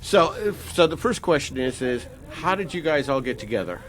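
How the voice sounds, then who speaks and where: A man conducts a casual band interview in a mid-pitched voice. He is informal and jokey, and his questions tend to ramble. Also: big pitch swings, normal speed.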